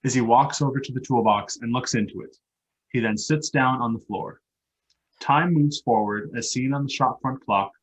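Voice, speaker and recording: A man with a slightly husky voice reading stage directions as scripted narration for a play. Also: medium pitch, normal speed, poor audio. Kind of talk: scripted narration at a live table read.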